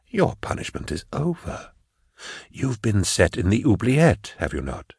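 A man voicing a smooth, falsely kindly prefect in an audiobook, soft and whispery, with measured, condescending phrasing. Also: fairly low pitch, huge pitch swings, no echo, studio quality, exaggerated voice. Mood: silky, soothing. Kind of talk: audiobook character acting.

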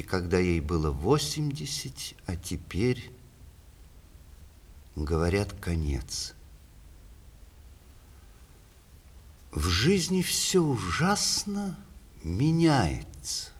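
An actor playing a witty, vain aging playwright in a 1960s Russian radio play, ironic and deliberate, with mock-tragic pauses. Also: male voice, fairly low pitch, slightly rough, full character voice. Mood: grieving, subdued. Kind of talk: 1960s Russian stage radio play, letters performed aloud.

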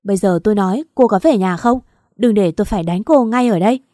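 A woman voicing a cold, brutal husband who barks curt orders in a loud, dry recording. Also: medium pitch, brisk, no room sound, natural voice. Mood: harsh.

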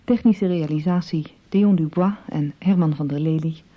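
A woman reading a scripted radio announcement in a formal, measured manner. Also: deep voice. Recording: dull archival radio sound.

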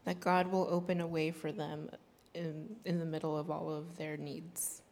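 A woman's voice: low voice, slow, gravelly. Woman interpreter in a reverberant hall. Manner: steady, plain relaying of the pastor's words. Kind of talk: live sermon interpretation.

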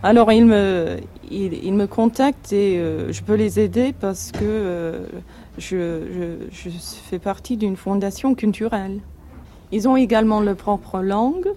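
A woman's voice: mid-range voice, unhurried, mellow, a little hiss or hum. A woman interpreting an interviewee's answers into French, plainly and in the first person.

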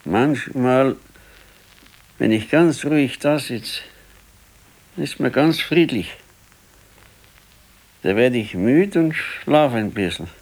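A man's voice: medium pitch, animated, slow pace, pauses often, a little mellow, poor audio. A man playing an ailing, stubborn, brooding old husband in a radio play, laconic and weary, with a southern German, Bavarian accent. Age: older. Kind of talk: naturalistic radio play acting.

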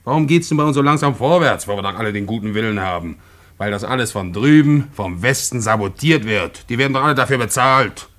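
An actor playing a suspicious, dogmatic pub landlord in a German radio drama, preachy and self-righteous. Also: male voice, medium pitch, expressive, a little fast, a bit bright, lightly acted.

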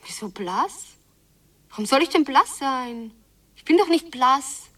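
An actress playing a wounded, secretive young daughter who longs and seethes in a radio drama, often hushed and drawing out her phrases. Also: high-pitched, lively intonation, measured pace. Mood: defiant denial. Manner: hushed, echoing repeated phrases, drawn out. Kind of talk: literary radio drama, whispered inner monologue.

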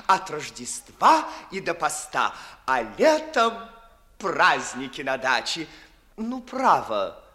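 High, fawning young clerk. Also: male voice, a bit bright, exaggerated voice. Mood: fawning enthusiasm. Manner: deferential, mincing, unctuous. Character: obsequious, cautious young clerk, sly flatterer. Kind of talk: 1950s classical radio theatre acting in verse.

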